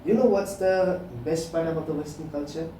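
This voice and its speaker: A man's voice: a little high, brisk, mellow. A man, co-hosting a podcast in casual chat, earnest and lecturing, full of "uh" and "you know". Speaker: podcast co-host. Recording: echoey room, far from the mic, faint hiss.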